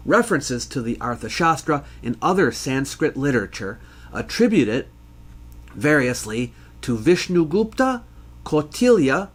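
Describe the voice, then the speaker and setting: A man giving scripted educational narration as a history host, in a measured, scholarly, explanatory manner. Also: mid-range voice.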